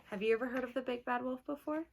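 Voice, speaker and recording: A woman teacher reading a storybook aloud to young children, pausing to explain simply and ask them questions. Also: sits high, creaky, background noise. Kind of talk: children's storybook read-aloud with asides to listeners.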